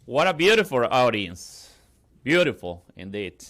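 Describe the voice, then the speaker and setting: A pastor, a man whose first language is Spanish, giving a slow, solemn public invocation prayer. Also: mid-range voice, sing-song, a bit bright, slight background noise. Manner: slow, measured, solemn delivery.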